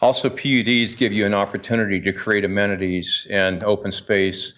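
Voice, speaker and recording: A man's voice: on the low side, little pitch movement, a little fast, a bit bright. A man in his sixties from Idaho, a property developer giving earnest, insistent public testimony at a city council podium, often restarting his phrases.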